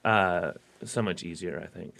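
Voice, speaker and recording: A man's voice: low-pitched, unhurried, gravelly, a bit bright. A man, a musician guest in a radio studio, talking in a rambling casual way with lots of fillers and restarts. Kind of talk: casual interview chat.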